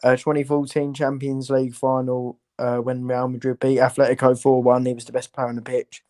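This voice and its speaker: A man's voice: medium pitch, monotone, a little fast, warm tone. A man giving blunt, opinionated football analysis as a panellist in casual chat.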